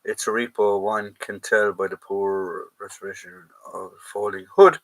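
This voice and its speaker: A man's voice: lowish voice, fairly even intonation, normal speed, a bit bright, poor audio. An Irish man hosting a live chat, casually reading out and answering viewer comments, trailing off and restarting.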